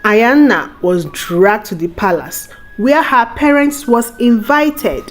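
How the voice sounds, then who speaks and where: A woman narrating a scripted folktale in a dramatic, unhurried storytelling manner. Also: fairly high pitch. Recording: music bed under the voice. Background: music.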